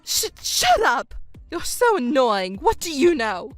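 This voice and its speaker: Actress as a selfish, self-pitying middle-aged stepmother, high and shrill, audio drama. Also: big pitch swings, slow, soft background music, exaggerated voice. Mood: indignant. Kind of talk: melodramatic audio drama acting.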